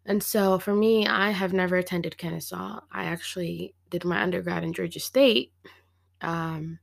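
Slightly hoarse woman with vocal fry. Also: on the low side, fairly even intonation, moderate pace. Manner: chatty and slangy, many fillers and small laughs. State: slightly hoarse after illness. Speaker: podcast host. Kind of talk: casual solo podcast chat.

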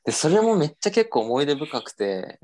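A man's voice: fairly high pitch, big pitch swings, fairly quick, a little mellow, soft background music. A man in his twenties telling stories as a podcast guest, rambling and correcting himself in casual chat. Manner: rambling storytelling with self-corrections and laughs.

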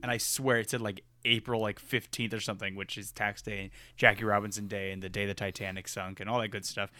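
A man's voice: lowish voice, flat delivery, fast, sharp tone, no room sound. A man co-hosting a podcast, joking and rambling in casual chat. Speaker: podcast co-host.

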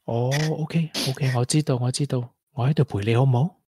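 A man's voice: mid-range voice, fairly even intonation, fairly quick, dark tone, a little hiss or hum, close to an everyday voice. An actor with a Hong Kong Cantonese accent playing a caring, playful young man in a radio drama, with a coaxing, colloquial manner. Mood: worried, soothing. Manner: coaxing, colloquial, with English interjections. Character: caring, playful, persistent young man wooing a grieving colleague.

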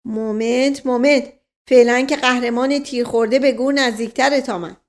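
A woman's voice: a little high, steady tone, lightly acted. A woman voicing a flippant, dry-witted male aristocrat in an audiobook, with a wry, teasing manner. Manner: wry, teasing, catchphrase-prone.

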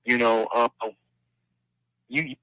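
A man in his forties, a podcast guest, speaking in a measured, earnest way with religious turns of phrase. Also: mid-range voice, fairly even intonation, a bit bright, clean tone, no echo, low-quality recording. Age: 40s. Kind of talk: casual podcast chat.

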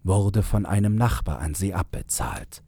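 A man with a husky voice narrating a fairy tale in a measured, unhurried way. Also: low-pitched, lively intonation, dark tone, no echo. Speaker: storyteller, narrator.

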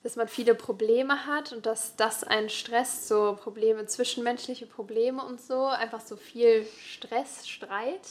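A woman's voice: fairly high pitch, fairly even intonation, a little slow, few pauses. A young woman co-hosting a podcast in casual chat with a friend, colloquial and emphatic in the way she talks. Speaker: podcast co-host.

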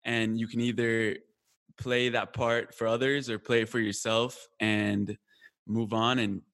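Young guy from colombia chatting about himself, lots of restarts and likes, relaxed. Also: mid-range voice, a little slow. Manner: frequent restarts and fillers, laughs at himself. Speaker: guest. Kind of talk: casual reflective conversation.

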